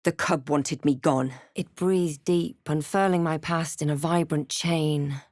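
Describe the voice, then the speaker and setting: A woman voicing a composed, snarky adventurer and spellcaster in a fantasy video game, with a deliberate, dramatic delivery. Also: low-pitched, steady tone, a little mellow, no room sound, clean studio sound. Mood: solemn, controlled. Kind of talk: fantasy game voice lines and scripted narration.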